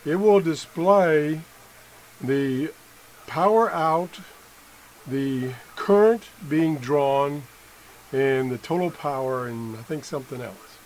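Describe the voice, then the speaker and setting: A middle-aged man giving an unscripted hands-on demonstration as an amateur tester, halting and correcting himself as he thinks aloud. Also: a little high, darkish tone. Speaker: amateur product tester and video host. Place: indoor room at a workbench. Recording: noisy room with background sound.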